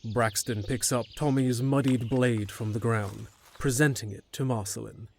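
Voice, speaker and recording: A man's voice: mid-range voice, steady tone, moderate pace, vocal fry, darkish tone. A man narrating a scripted fantasy audio drama in a descriptive storytelling manner.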